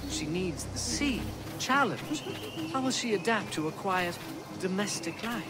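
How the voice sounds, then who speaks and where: An actor playing a cautious, formal merchant brother in a video game, measured and politely pointed. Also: male voice, sits high, expressive, background noise. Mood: concerned. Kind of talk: video game drama acting. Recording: loud music behind. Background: music.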